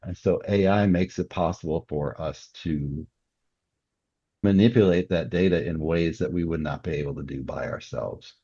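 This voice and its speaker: A man's voice: rumbling low, mellow, slightly rough, no echo, lo-fi sound. A man answering as an interview guest and author, explaining things in a casual, conversational way.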